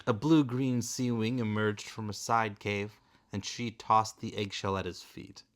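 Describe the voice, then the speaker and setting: A man's voice: on the low side, fairly even intonation, a little slow. A man reading a children's fantasy storybook aloud as narrator. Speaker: father reading a bedtime story.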